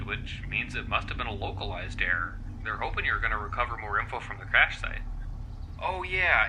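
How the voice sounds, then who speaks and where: Young space crew dude, super bright sharp voice, bouncy, griping and casual. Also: male voice, lowish voice, a little hiss or hum, low-quality recording. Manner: informal, animated. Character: casual, gossipy, complaining young male space crewman. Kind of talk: audio drama acting.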